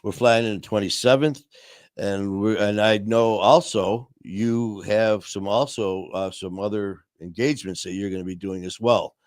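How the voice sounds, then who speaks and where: A man hosting a casual live stream, talking warmly to viewers in a rambling way with many fillers and restarts. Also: on the low side, fairly even intonation, normal speed, no room sound, studio quality. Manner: friendly and upbeat, addressing viewers. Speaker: livestream host.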